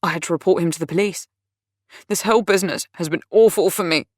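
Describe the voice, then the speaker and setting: A woman voicing a volatile, self-pitying man who rants and complains loudly. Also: on the low side, quick pace, no room sound, studio quality, close to an everyday voice. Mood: pleading, self-pitying. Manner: ranting, raised and strained.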